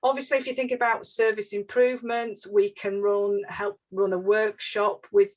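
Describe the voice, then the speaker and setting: Yorkshire woman presenting on a webinar, flat-ish voice, lots of ums and repeats, kinda muffled audio. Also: mid-range voice, moderate pace. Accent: Yorkshire English. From northern England. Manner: repeats words, many fillers. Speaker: regional advisor presenting a webinar. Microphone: video call. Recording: slightly dull lo-fi webinar audio.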